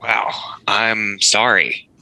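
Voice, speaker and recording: An actor playing a guarded, awkward male pastor with a hesitant, halting delivery in a script table read. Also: fairly low pitch, sharp tone, a little hiss or hum, heavily acted, poor audio.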